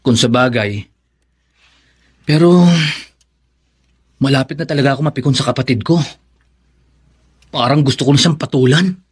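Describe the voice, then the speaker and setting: An actor playing a meek, respectful college student son in a Filipino radio drama, polite and earnest. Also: male voice, medium pitch, moderate pace, long pauses, slightly rough, natural voice. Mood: frustrated. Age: young adult.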